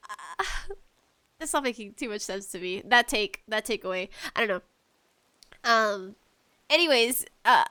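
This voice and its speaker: A woman hosting a casual gaming podcast, talking solo in a slangy, conversational way with animated asides. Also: fairly high pitch, clean tone, dry recording. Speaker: podcast host.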